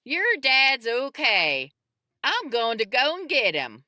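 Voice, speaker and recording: A woman's voice: medium pitch, expressive, slow, bright, forceful tone, clear, resonant tone, no room sound, studio quality, voice pushed up. A woman voicing a polite, apologetic rural man with a Texas drawl in an audiobook. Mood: reassuring. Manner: polite, folksy. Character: friendly, apologetic rural Texan neighbor man.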